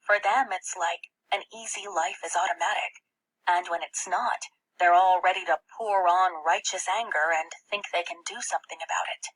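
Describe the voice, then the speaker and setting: Breathy woman reading a children's novel aloud. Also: on the low side, fairly even intonation, normal speed, a bit bright, rough recording. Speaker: audiobook reader. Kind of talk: expressive first-person read-aloud of a children's novel.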